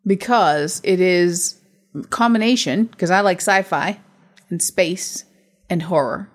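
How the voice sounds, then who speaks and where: A woman's voice: on the low side, takes it slowly. A woman co-hosting a casual film review podcast, teasing her co-host and laughing often.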